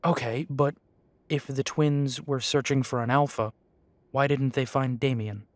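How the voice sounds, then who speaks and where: A man's voice: mid-range voice, fairly even intonation, a little slow, dry recording, close to an everyday voice. A man playing a defiant, bewildered young werewolf who is reluctant to lead, blunt and questioning, in an audio roleplay drama. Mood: confused, sceptical.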